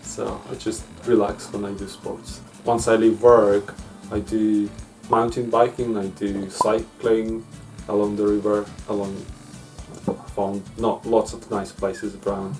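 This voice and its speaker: A man's voice: on the low side, little pitch movement, a little slow, darkish tone. A man from Ukraine, chatting casually in an interview in an easygoing way. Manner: easygoing, with frequent "uh" and non-native grammar. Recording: distant mic with faint music.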